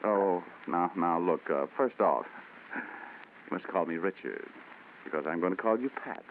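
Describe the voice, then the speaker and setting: A man's voice: sits low, lively intonation, moderate pace, a little hiss or hum. An actor playing a hardboiled male private eye who narrates with wry, flowery wisecracks, in 1940s radio acting style. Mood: coaxing. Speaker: detective narrator. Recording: tinny old radio broadcast with music.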